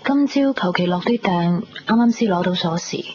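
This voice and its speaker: A woman's voice: on the low side, expressive, moderate pace, a little mellow, slight background noise, lightly acted. An actress playing a girlish, candid, squeamish young woman in a radio drama, speaking Cantonese with a Hong Kong accent. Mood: flustered, candid. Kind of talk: 1990s radio drama dialogue, conversational. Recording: muffled 1990s radio recording, music behind.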